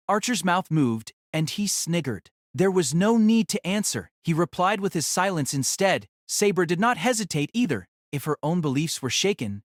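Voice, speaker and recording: Dramatic, animated male story narrator. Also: fairly high pitch, dry recording, studio quality.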